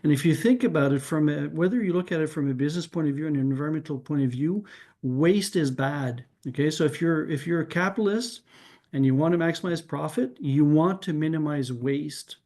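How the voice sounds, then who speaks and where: Man, mellow low voice. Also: brisk. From Canada. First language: French. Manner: thinking aloud, frequent restarts, ends points with "right?". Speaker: discussion participant. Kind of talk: casual group discussion.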